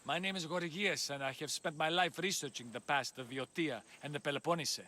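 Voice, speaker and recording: An anxious, courteous Greek-world scholar in a video game. The voice is bright and a little high, earnest and polite, with faint insect ambience behind it. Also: male voice, a little fast, slight background noise. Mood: worried, courteous. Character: worried, courteous male scholar of ancient Greece. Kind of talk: video game cutscene acting.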